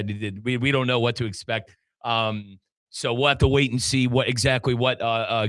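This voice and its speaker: A man's voice: mid-range voice, sharp tone, no room sound. A man hosting a sports talk show, chatty and emphatic, repeating points and addressing listeners directly between sponsor reads. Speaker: sports radio host and play-by-play announcer.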